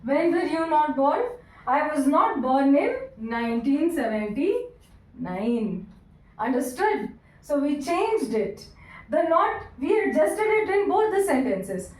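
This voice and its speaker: A woman teaching English grammar, repeating each sentence slowly word by word and asking her students questions. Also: sits high. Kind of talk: classroom grammar lesson. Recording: echoey room, voice from a distance.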